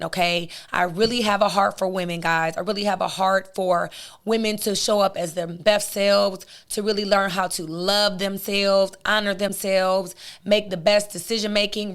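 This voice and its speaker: A hoarse woman hosts her own podcast and talks straight to her listeners. Her voice sits at an average height for a woman and has a rough rasp. She comes across as casual, chatty and outspoken. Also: medium pitch, fairly bright tone. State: hoarse, raspy voice. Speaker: podcast host.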